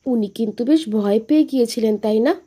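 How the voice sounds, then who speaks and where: Woman acting a high, mellow-voiced household woman. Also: brisk, natural voice. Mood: curious. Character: soft-spoken, attentive household woman in detective story. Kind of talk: Bengali audio detective drama acting.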